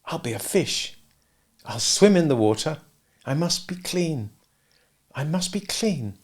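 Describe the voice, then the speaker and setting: Man doing a quiet, weary little boy. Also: on the high side, lively intonation, normal speed, gravelly, breathy edge, full character voice. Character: tired, feverish little chimney-sweep boy.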